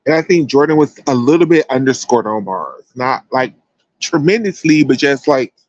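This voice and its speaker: A man's voice: medium pitch, animated, normal speed, dry recording, highs cut off. An American man giving casual, chatty sports commentary as a livestream host, emphatic and slangy.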